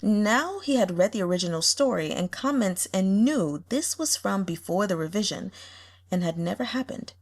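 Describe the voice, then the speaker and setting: Casting: female audiobook storyteller, mid-pitched, lively intonation, slightly husky, expressive scripted delivery. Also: moderate pace. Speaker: audiobook reader.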